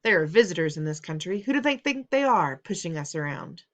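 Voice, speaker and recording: Woman doing a gruff stubborn dad, loud and insistent, quick temper, a bit low. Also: very animated. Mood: stern. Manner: gruff and insistent, raising his voice.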